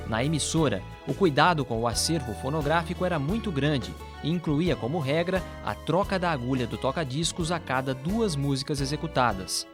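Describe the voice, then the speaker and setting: A man with a Brazilian accent reads scripted documentary narration. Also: on the high side. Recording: music playing behind the voice. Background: background music.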